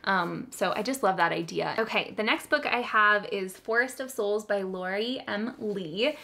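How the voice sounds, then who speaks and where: A woman's voice: mid-range voice, moderate pace, creaky, pushed and bright. A woman hosting a book video, chatting casually to viewers in an enthusiastic, giggly, gushing way. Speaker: host of a book haul video.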